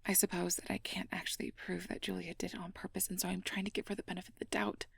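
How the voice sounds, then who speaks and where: A sweet young air mage girlfriend acted by a woman confides in an intimate ASMR role-play. Her voice is breathy and often drops to a whisper, with some creak at the edges. She is earnest and anxious, choosing her words carefully and politely, in a very dry, close sound. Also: fairly low pitch, level tone, fast, no echo, heavily acted. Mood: exasperated, flustered.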